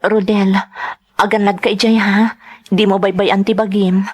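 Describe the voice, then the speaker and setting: A woman's voice: mid-range voice, flat delivery, normal speed, a little mellow, husky, natural voice. A woman with an Ilocano accent playing an earnest, pleading wife and mother in a radio drama, with emotional, imploring delivery. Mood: tender, worried.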